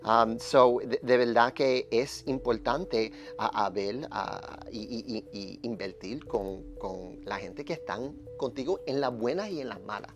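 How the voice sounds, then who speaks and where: Gravelly, halting man in discussion. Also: mid-range voice, muffled recording, music in the background. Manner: halting, repeats words, slips in English fillers. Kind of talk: casual discussion.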